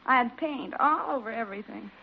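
Self-pitying, theatrical nurse for vintage radio drama: highish, earnest, plaintive, on a muffled recording. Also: female voice, brisk, creaky. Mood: flustered. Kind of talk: 1940s radio acting.

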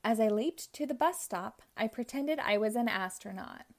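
A woman reading a children's picture book aloud, narrating in a gentle, expressive storytelling manner. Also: a little high, normal speed. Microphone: audio only, close mic.

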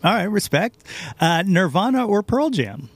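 A man's voice: sits high, big pitch swings, moderate pace. A man hosting a podcast in a casual, conversational interview style. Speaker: podcast host.

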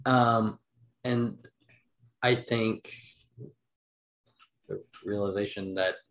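A young man speaks with a fairly low voice as a guest in a casual podcast talk. He often pauses on "um" and repeats his words before going on. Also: steady tone, a bit bright, rough recording. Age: young adult. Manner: hesitant, many "um"s and restarts. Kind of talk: casual chat.

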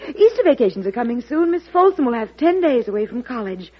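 An actress playing a devoted, gently bossy presidential secretary, formal yet insistent, in old-time radio drama style. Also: female voice, on the high side, big pitch swings, fast, slightly rough. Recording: muffled, noisy vintage radio broadcast.

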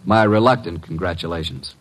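A man plays a breezy American detective's legman from classic radio drama. His voice sits fairly low. He tosses out lines with flippant, wry ease, cocky and a little flirtatious. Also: fairly quick, close to an everyday voice. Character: breezy, wisecracking, flirtatious male detective's assistant. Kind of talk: old-time radio detective drama acting.

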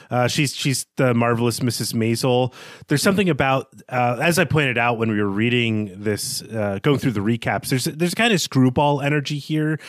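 Guy cohosting a book podcast, medium pitch, explains stuff analytically, super dry sound. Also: dry recording. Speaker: podcast co-host. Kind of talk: literary discussion podcast.

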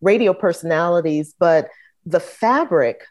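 A woman's voice: lowish voice, lively intonation, measured pace, a little mellow. Enthusiastic woman researcher. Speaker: archivist and researcher. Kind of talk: panel discussion.

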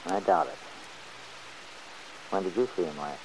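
A man's voice: deep voice, animated, a little slow, poor audio. An actor playing a stubborn, good-hearted, folksy man who grumbles plainly in an old-time radio serial. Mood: grumbling. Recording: hissy old radio recording.

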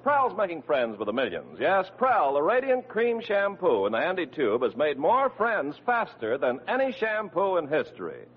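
A polished American male radio announcer with a high, very animated delivery. He has upbeat 1940s salesmanship and comes through a muffled old broadcast. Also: normal speed, few pauses, slightly rough.

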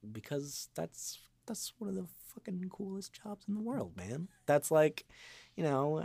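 A man in his twenties chatting reflectively as an interview guest, earnest and halting as he gathers his thoughts. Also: low-pitched, slightly rough, no room sound. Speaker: voice actor, podcast guest. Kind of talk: casual reflective interview chat.

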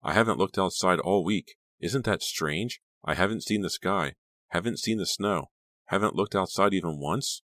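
A man's voice: sits low, moderate pace, a bit bright, dry recording, clean studio sound. A man voicing a sad, drowsy teenage girl in an audiobook, timid, weary and plaintive. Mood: confused.

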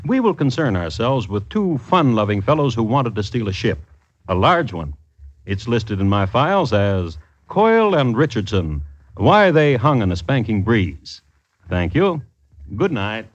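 An actor playing a wry, formal true-crime host, narrating deadpan in 1950s radio style. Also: male voice, medium pitch, unhurried, slightly rough, no echo. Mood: droll. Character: dry, droll male true-crime storyteller hosting the show. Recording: dull old radio recording.

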